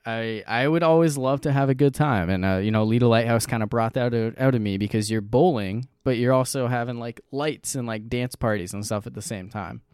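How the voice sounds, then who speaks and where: A man's voice: mid-range voice, a little fast, few pauses, a little mellow, smooth, no room sound, clean studio sound. A young man from New Hampshire, co-hosting a casual chat and rambling with fillers. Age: young adult.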